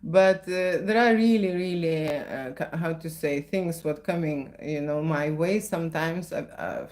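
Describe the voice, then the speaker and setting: Lower-pitched Lithuanian-accented woman. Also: unhurried, darkish tone. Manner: chatty, keeps adding "okay?" and "right?". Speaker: photographer. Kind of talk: live Q&A chat.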